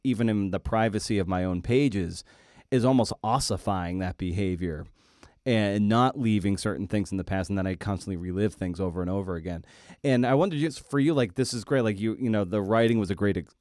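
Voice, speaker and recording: A middle-aged man hosting a podcast interview, conversational and reflective, feeling his way haltingly through long, rambling questions. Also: fairly low pitch, darkish tone, no echo, clean studio sound. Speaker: podcast host.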